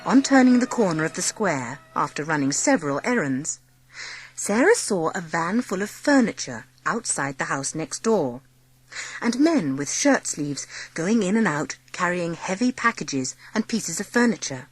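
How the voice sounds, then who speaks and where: A woman narrating a dramatised children's story as an expressive audiobook storyteller. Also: fairly low pitch, normal speed, breathy edge, poor audio.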